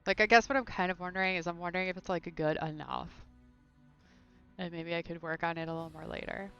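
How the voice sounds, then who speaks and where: A woman's voice: on the low side, steady tone, quick pace, fairly bright tone, clean tone, lo-fi sound, soft background music. A woman chatting casually and thinking aloud while streaming a video game from her room at home. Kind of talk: casual live gameplay chat.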